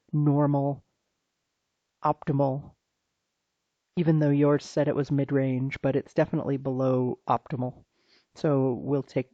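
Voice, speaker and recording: A slightly hoarse health practitioner walks through a client's lab results one by one, speaking in a halting, informal way and often restarting. The voice is mellow and dark. It sounds dull and muffled, in a dry, close space with no room echo. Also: mid-range voice. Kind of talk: private recorded walkthrough of lab results.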